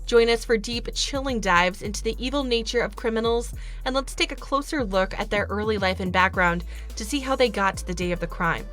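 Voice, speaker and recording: Higher-voiced woman reading a podcast promo. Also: fairly quick, fairly bright tone, soft background music. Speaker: true crime podcast host. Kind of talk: scripted podcast promo read.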